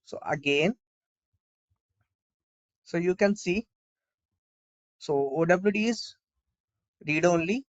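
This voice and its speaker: A man giving an informal step-by-step software tutorial, often asking and answering his own questions as he explains. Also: high voice, slow pace, long pauses, no echo, muffled recording. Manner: repetitive explaining with rhetorical questions.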